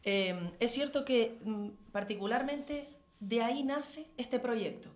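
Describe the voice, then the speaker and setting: A woman's voice: a little high, slow pace. A woman answering in an interview as a councillor, emphatically listing her points phrase by phrase. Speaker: town councillor.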